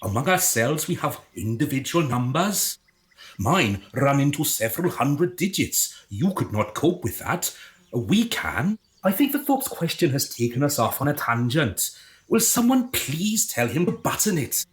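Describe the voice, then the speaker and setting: A performer playing a haughty, excitable, condescending alien in human guise in a comic radio drama. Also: mid-range voice, a little slow. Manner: pompous, exasperated, condescending.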